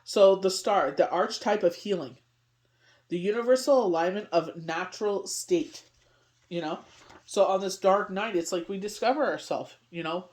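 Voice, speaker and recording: A woman's voice: mid-range voice, moderate pace, darkish tone. Rambling middle-aged woman reading tarot. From Canada. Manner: rambling, heavy on "you know what I mean". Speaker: tarot reader. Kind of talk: casual daily tarot reading.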